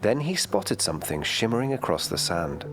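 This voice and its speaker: A man reading a children's bedtime story in a calm, gentle storytelling manner. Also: on the low side, normal speed, music in the background.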